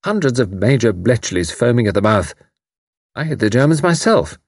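A man voicing a brisk, kindly young woman who speaks robustly and reassuringly. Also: mid-range voice, big pitch swings, a little mellow, slightly rough, no echo, clean studio sound. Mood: earnest.

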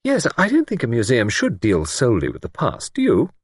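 A man reading an audiobook voices a fussy, anxious middle-aged spinster in a high, breathless manner. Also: wildly swooping pitch, a little fast, slightly rough, no echo, clean studio sound. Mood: chattering.

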